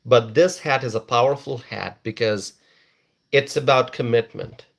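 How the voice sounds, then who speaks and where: A man's voice: mid-range voice, measured pace, gravelly. A man from Bangladesh hosting a casual live stream, reading viewers' comments aloud and repeating phrases for emphasis.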